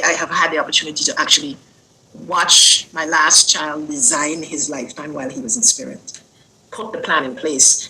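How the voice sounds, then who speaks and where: An older woman from Guyana, an interview guest telling a personal story in an earnest, unhurried way that lingers on words. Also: on the low side, sharp tone, slight background noise, low-quality recording.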